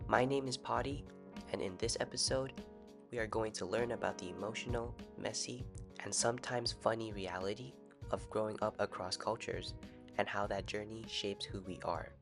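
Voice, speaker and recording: A man's voice: on the low side, steady tone, moderate pace, creaky. A man hosting a podcast, reading scripted narration and asking his guests short questions. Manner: reads narration evenly, asks short questions. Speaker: podcast host. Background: music playing underneath.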